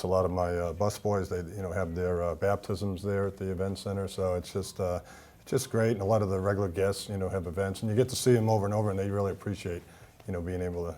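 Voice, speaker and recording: A man with a Boston accent talks quickly in a rambling, filler-heavy way. His voice sits low. He is chatting as a guest on a talk show. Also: dark tone. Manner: rambling, many fillers and restarts. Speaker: event center owner, guest. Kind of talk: casual TV talk show interview.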